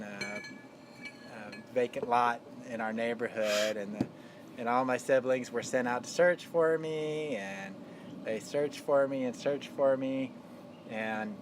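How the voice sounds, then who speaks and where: A man in his fifties from Texas, telling childhood memories in a relaxed family interview, halting with fillers. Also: mid-range voice, noisy background. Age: 50s. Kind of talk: casual family interview storytelling. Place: backyard outdoors.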